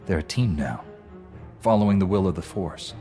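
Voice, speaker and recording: Lowish, resolute Jedi commander. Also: male voice, lively intonation, normal speed, warm tone. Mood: earnest explaining. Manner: earnest, resolute, authoritative. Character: firm, heroic male Jedi commander. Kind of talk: dramatic video game voice acting. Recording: music behind the voice.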